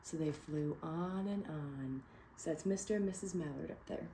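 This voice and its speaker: Woman teacher, picture-book read-aloud, encouraging, asks the class questions; mellow low tone. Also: moderate pace, slight background noise. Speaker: teacher reading to children.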